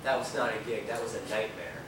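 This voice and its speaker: An actor playing a weary, disillusioned musician in a stage play, brooding and earnest. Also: male voice, on the low side, fast talker, lightly acted. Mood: sullen, frustrated. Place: theater stage, far microphone. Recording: echoey, distant, noisy videotaped stage.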